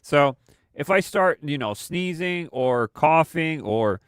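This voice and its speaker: Male streamer, stuffy and congested, smooth clear tone, fairly high pitch, rambling with fillers. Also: expressive, slow pace, no echo, clean studio sound. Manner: rambling with many fillers and restarts. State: congested, recovering from a cold. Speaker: host of a weekly live stream. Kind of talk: casual live-stream commentary.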